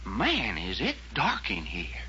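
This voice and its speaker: An actor playing a feisty, folksy adventurer sidekick in a radio drama, drawling excitably. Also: male voice, on the low side, slow pace, heavily acted. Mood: wary. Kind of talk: 1940s radio drama acting. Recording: muffled, noisy old-time radio recording with hum.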